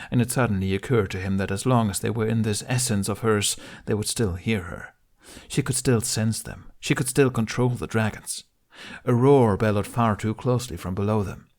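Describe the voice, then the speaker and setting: A man with a fairly low voice narrates an adventure audiobook. The delivery is scripted and dramatic, in the manner of a storyteller. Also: darkish tone.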